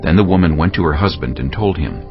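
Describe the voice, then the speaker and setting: A man's voice: low voice, lively intonation, fast talker. A man narrating a dramatized reading of scripture as the storyteller. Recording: dull band-limited sound. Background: background music.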